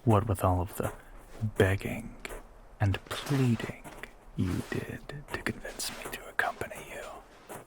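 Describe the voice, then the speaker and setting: Man acting as slow, low-voiced teasing vampire boyfriend. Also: vocal fry. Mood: smug teasing. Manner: slow, drawling, teasing, often dropping to a whisper. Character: teasing ancient male vampire posing as human boyfriend. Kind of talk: boyfriend audio roleplay acting.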